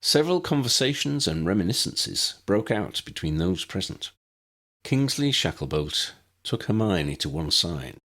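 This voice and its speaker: Guy narrating an audiobook, very low voice, slightly husky. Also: sing-song, a little slow, a bit bright. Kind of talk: scripted story narration.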